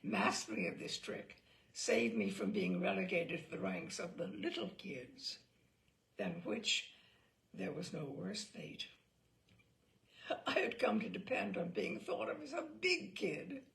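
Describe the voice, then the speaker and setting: A woman reading a literary story aloud in an expressive, theatrical and deliberate way, with a gravelly, creaky voice. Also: on the low side.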